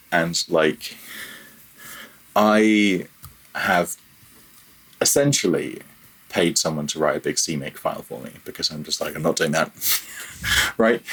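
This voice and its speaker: Male interview guest, deep and husky, informal, modest, feeling his way through each thought. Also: fairly bright tone, poor audio. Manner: hesitant, self-deprecating, thinking aloud. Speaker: podcast guest, freelance audio developer. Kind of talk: casual interview chat.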